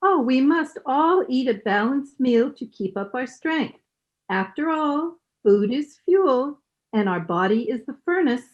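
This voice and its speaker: Lady doing a prim meddling older mom from radio comedy, highish, babies her son, bit dull recording. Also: expressive. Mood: prim, cooing. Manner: cooing, fussy, drawn-out delivery. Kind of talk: old-time radio sitcom acting. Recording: slightly dull video-call sound.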